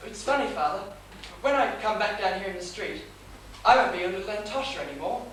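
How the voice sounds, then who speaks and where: Man acting very high-voiced, timid teenage son. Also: animated, a little fast, fairly bright tone. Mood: meek, earnest. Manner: nervous, pleading, obedient. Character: timid, naive, fragile nineteen-year-old son. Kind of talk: live comedic stage play acting. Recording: echoey theatre stage, distant mic, faint noise.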